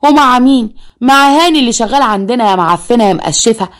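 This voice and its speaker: A woman's voice: animated, fast, natural voice. A woman with an Egyptian accent voicing a harsh middle-aged mother who scolds and curses her daughter. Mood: furious. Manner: shrill, cursing, scolding.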